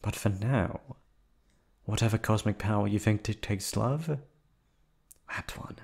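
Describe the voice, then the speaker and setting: A man voicing a calm, unhinged yandere receptionist who has kidnapped the listener, in an ASMR audio drama. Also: fairly low pitch, unhurried, creaky. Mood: coolly reasoning. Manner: soft, coolly reasoning, menacing. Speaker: voice actor.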